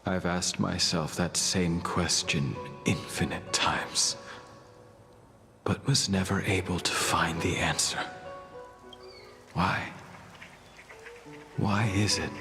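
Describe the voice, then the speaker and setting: A man voicing a tormented, weary immortal swordsman in a video game, speaking gravely and with breath in the voice. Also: deep voice, slow pace, long pauses. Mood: bitter, haunted. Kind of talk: dramatic video game voice acting. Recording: long reverb, music behind.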